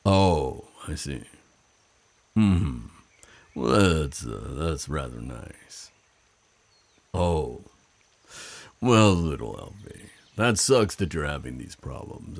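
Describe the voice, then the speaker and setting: A man playing a flirtatious, protective werewolf of the forest in an intimate ASMR roleplay, with a very deep, gravelly, husky voice and a teasing, deliberate delivery. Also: dry recording, full character voice. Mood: casual, listening. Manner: slow, teasing, chuckling, deliberate pauses.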